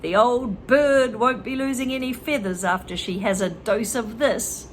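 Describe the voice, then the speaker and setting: A woman voicing a mischievous young boy in a storybook reading, speaking a little lower than her own voice. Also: unhurried, slight background noise, natural voice. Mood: scheming.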